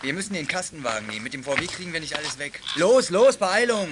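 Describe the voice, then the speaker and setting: An actor playing a gruff, scheming young gang leader in a 1980s youth radio play, curt and commanding. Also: male voice, a little high, animated, quick pace, music in the background, close to an everyday voice. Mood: urgent shouting. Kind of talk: 1980s German youth audio drama acting. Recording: noisy old audio drama recording.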